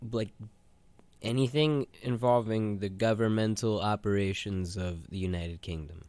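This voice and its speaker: A man's voice: on the low side, steady tone, unhurried, a little mellow, clean tone, dry recording. A man co-hosting a podcast in casual, slangy chat, telling anecdotes and acting out imagined dialogue. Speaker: podcast co-host.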